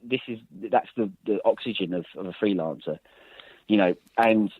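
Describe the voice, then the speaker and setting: A man's voice: fairly low pitch, moderate pace, husky, no room sound. A man, a journalist and author, telling long stories in a casual interview, rambling with many restarts and fillers. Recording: dry, phone-like line.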